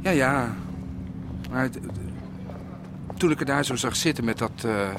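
An actor playing a soft-hearted, earnest policeman, hesitant and searching for words, in a radio drama. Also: mid-range voice, normal speed, noisy background, lightly acted. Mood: troubled, earnest.

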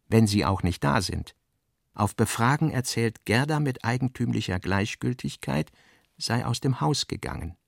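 A man giving a scripted literary narration, reading out notes and drafts in deliberate fragments with pauses. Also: medium pitch, vocal fry, no echo, clean studio sound. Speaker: radio drama narrator.

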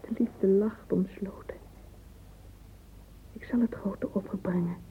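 An actress playing a dreamy, self-dramatising young woman in a radio drama inner monologue, hushed and breathy with yearning. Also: medium pitch, fairly even intonation, takes it slowly, in a whisper. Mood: solemn longing. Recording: muffled, noisy old radio play recording.

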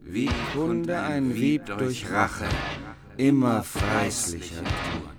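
A man reciting archaic medieval verse in a deliberate, performed style for a radio drama. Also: on the low side, lively intonation, over loud music. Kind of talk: slow verse recitation in archaic Middle High German.

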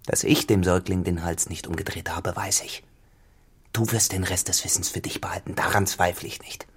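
An actor playing a cynical, dissolute farmhand turned tenant in a literary radio drama, grave and deliberate in manner. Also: male voice, deep voice, steady tone, slightly rough. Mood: brooding, confessional.

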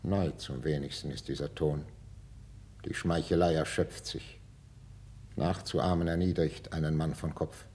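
Stern old-school king, really low voice, weighty and suspicious, formal stage speech, 50s radio drama. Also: a little mellow. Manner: authoritative, weighty, formal diction. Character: stern, commanding king, measured, suspicious, majestic. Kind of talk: 1950s German radio drama, classical verse acting.

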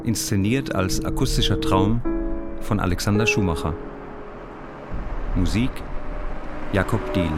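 Low-voiced male announcer over loud music. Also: a little slow, pauses often. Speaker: announcer of title and credits. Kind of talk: scripted audio drama introduction.

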